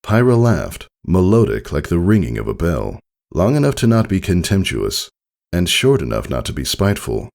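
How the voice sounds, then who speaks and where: A man reading fiction aloud as an audiobook narrator, with expressive scripted delivery. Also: deep voice, moderate pace, gravelly.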